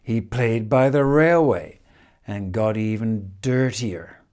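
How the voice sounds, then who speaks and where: An older man reads a children's picture book expressively, with measured phrasing and dramatic pauses. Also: fairly low pitch, a little mellow, smooth. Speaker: storyteller reading a picture book. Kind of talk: expressive children's storybook reading. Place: indoors, room in a house with stone wall.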